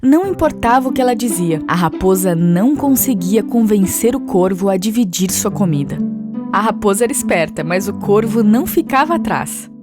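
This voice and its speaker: Brazilian woman narrating over clear music. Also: medium pitch, lively intonation, moderate pace. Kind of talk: scripted children's fable narration. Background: instrumental music.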